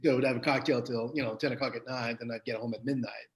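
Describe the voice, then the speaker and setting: A man from Quebec with a slight Quebec French accent, telling stories as a guest in a casual interview, lively and full of restarts. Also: medium pitch, fast. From Quebec, Canada. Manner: animated storytelling, frequent restarts.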